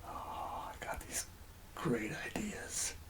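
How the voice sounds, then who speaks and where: A man doing a soft-spoken ASMR roleplay as a tax collector, hesitant and conspiratorial, often dropping into whispers. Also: slow.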